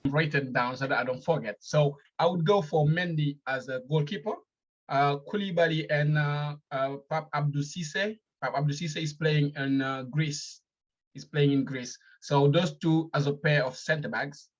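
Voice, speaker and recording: A man from Senegal, a fan interviewed over a call, speaking calmly with frequent hesitations. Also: a little high, moderate pace, highs cut off. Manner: hesitant, many ums, calm. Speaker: guest fan. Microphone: phone or video call.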